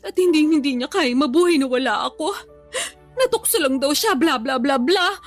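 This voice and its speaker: Casting: tearful, insecure jilted young woman, very high voice, radio drama over music bed. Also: lively intonation, normal speed. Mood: dejected. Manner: plaintive, tearful. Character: heartbroken, self-conscious overweight young woman dumped by boyfriend. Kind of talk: Tagalog radio drama acting. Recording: music under the voice.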